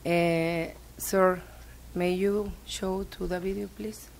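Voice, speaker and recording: Slow, hesitant low-voiced woman at a board meeting. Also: level tone, creaky, a little hiss or hum. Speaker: school board member.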